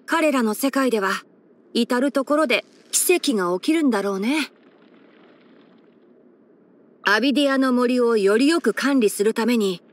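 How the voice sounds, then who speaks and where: A young male forest ranger chief with fox ears for an anime-style game. The voice is fairly high, light and boyish. He speaks in a measured, articulate way, clever and gentle with a soft wryness. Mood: wry, composed. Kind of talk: Japanese game character voice-over.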